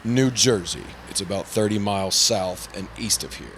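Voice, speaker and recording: A man's voice: on the low side, animated, moderate pace, a bit bright, a little hiss or hum. A man playing a prim, earnest, easily flustered insurance broker in old-time radio drama style. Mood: earnest, exasperated. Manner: prim, formal, exasperated. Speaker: supporting actor in a radio play.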